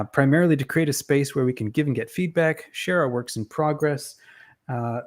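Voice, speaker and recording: A man's voice: medium pitch, darkish tone. A young adult man speaks as a show host in a casual interview. His phrasing is upbeat and informal, leaning on stretched words for emphasis. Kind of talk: casual interview and community promotion.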